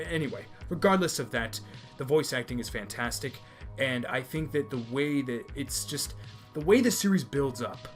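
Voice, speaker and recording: A young man from America hosting an anime review video, emphatic and opinionated, often repeating his points. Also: medium pitch. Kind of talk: enthusiastic review commentary.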